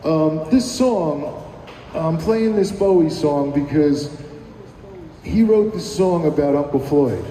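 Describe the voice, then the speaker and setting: A man's voice: fairly high pitch, animated, takes it slowly, dark tone, a little hiss or hum. A man guesting on a radio show, chatting casually and rambling with many ums and restarts. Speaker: musician guest. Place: live broadcast at a venue. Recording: roomy live venue audio from far off.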